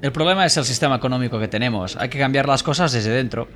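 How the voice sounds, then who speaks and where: A man's voice: mid-range voice, fast, a crowd in the background, close to an everyday voice. A young man with a Valencian accent playing an idealistic programmer who dreams of helping others. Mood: earnest. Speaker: programmer and volunteer. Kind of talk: scripted dialogue.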